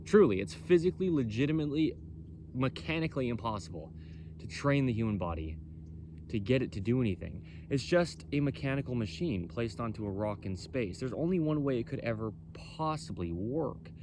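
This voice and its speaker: Loud, emphatic man over background noise. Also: medium pitch, expressive, fairly quick. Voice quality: loud, carrying voice. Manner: bold, emphatic, repetitive. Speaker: presenter for a clothing company. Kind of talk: impromptu explainer on body mechanics.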